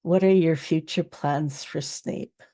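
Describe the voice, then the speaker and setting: A woman's voice: low-pitched, slow, dark tone. A woman hosting a podcast in a casual interview style. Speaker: podcast host.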